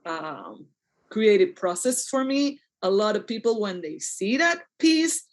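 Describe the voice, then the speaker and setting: A woman's voice: medium pitch, sing-song, measured pace. A woman dancer and choreographer, a podcast guest, speaking thoughtfully in bursts and laughing often. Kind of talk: reflective interview conversation.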